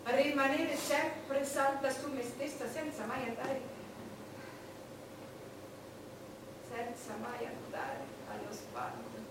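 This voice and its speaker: Far from the mic in a deeply echoing space with music behind, a proud, wounded queen. Her high-set voice delivers a theatrical monologue in short, weighted phrases, with the dramatic bearing of an embattled survivor. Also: very noisy. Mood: weary, longing. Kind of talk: theatrical stage monologue in Italian. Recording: distant, very echoey, music behind.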